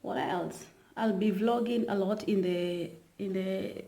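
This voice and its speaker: A woman's voice: mid-range voice, steady tone, slow, mellow. A woman from Kenya chatting casually to her viewers in a rambling vlog, with many fillers and restarts. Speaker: YouTuber. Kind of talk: casual vlog talk to camera.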